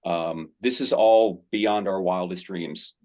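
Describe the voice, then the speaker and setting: A man's voice: low-pitched, slow pace, a little mellow. A middle-aged man, an actor, answering interview questions in a rambling, enthusiastic way with many fillers.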